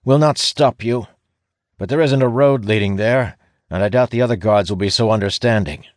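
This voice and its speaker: A man acting a blustering guard, pitched well up. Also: normal speed, no echo, studio quality, natural voice. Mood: grudging, scowling. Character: blustering, suspicious male guard with a spear. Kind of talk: audiobook fantasy character acting.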